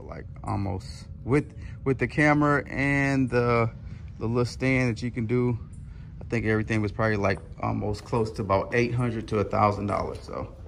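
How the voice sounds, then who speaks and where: A man's voice: medium pitch, gravelly, a little hiss or hum. A man in his thirties vlogging casually to a handheld camera on his driveway and in his garage, rambling with plenty of fillers. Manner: loose, rambling, lots of fillers. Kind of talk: casual vlog talk to camera. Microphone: handheld camera with foam mic.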